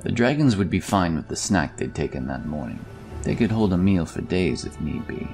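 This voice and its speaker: Low voiced guy narrating fantasy tale, very animated, clear music behind. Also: moderate pace. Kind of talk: scripted fantasy story narration.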